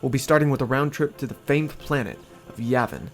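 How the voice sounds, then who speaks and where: An actor playing a calm, earnest young male inventor with a gravelly voice and a dry, teasing manner. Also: mid-range voice, brisk, faint music behind, lightly acted. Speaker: inventor and narrator. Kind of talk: fan audio drama acting.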